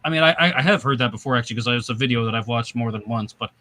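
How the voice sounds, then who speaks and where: A man hosting a casual video-call chat, talking in lively, rambling asides. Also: mid-range voice, racing, no room sound. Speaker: host.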